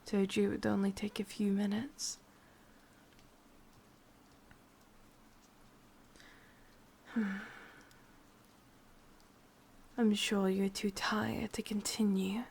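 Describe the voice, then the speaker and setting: A woman playing a sadistic, possessive, lovesick witch in a whispered ASMR roleplay, speaking intimately in an English accent with a breathy, husky voice. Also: mid-range voice, level tone, darkish tone, full character voice. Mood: tender. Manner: slow, intimate, menacingly tender.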